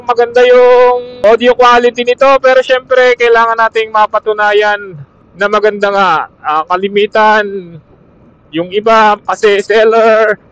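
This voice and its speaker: A man's voice: high-pitched, a bit bright, smooth, dry recording. A man with a Filipino accent chatting casually as a motovlogger to fellow riders, recorded through a helmet intercom mic while out riding. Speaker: motovlogger reviewing gear. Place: on a motorcycle outdoors on a road. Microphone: helmet intercom used as external camera mic. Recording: dull muffled helmet intercom mic over Bluetooth.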